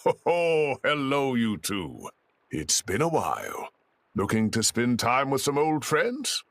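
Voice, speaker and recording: Old man scholar, hugely swooping intonation, mid-pitched, hearty, blustery, proud, video game acting. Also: wildly swooping pitch, takes it slowly, a bit bright, a little hiss or hum, exaggerated voice. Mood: jovial.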